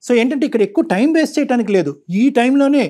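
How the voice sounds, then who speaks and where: A man's voice: extremely high pitch, animated, quick pace, mellow. A middle-aged man, counselling persuasively and repeating his points for emphasis. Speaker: counsellor on a talk show. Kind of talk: counselling talk.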